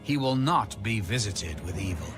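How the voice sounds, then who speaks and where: A man reading scripture aloud from a script in a measured, expressive, declamatory manner. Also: fairly low pitch, over music. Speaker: audio Bible reader.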